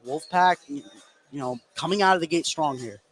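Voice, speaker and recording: A man doing live play-by-play radio commentary of a basketball game, calling plays excitably as they happen. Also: fairly high pitch, moderate pace, husky. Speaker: play-by-play sports announcer. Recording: noisy live gym broadcast.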